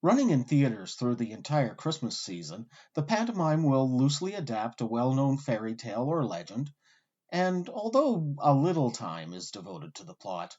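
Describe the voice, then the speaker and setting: A man hosting with scripted documentary narration, delivered in a wry, articulate storyteller's way with deliberate emphasis. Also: medium pitch, sing-song, a little mellow, husky, muffled recording. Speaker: host and narrator.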